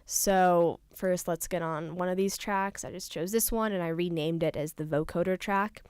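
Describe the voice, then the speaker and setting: A young adult woman presenting an informal software tutorial, explaining things casually step by step. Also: lowish voice, fairly even intonation, moderate pace, clean tone, no room sound, studio quality. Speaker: tutorial presenter, studio intern.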